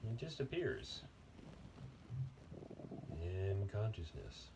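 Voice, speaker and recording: A man leading an unscripted guided body meditation in a gentle, unhurried manner with long pauses. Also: on the low side, sing-song, background noise.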